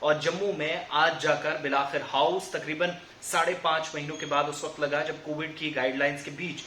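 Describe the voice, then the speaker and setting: A man's voice: fairly high pitch, fairly even intonation, moderate pace, pushed and bright, reverberant, a little hiss or hum. A man presenting the news in a semi-improvised explainer, wordily rephrasing his points as he goes.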